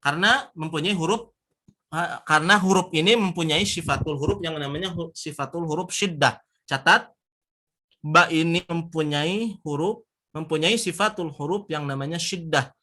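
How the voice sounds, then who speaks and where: A man with a Sundanese accent teaching Quran recitation, sounding out syllables slowly and firmly and repeating them. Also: a little high, sharp tone. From West Java. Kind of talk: recitation lesson.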